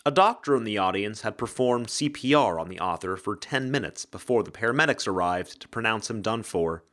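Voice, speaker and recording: A man's voice: fairly low pitch, lively intonation, brisk, fairly bright tone. A man reading mystery fiction as a dramatic scripted narration. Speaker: audiobook reader, actor.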